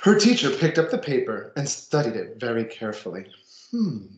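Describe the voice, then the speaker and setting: A man's voice: mid-range voice, very animated, normal speed, echoey room, dull audio. A man reading a children's picture book aloud with casual asides, expressively and with dramatic emphasis. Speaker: storybook reader.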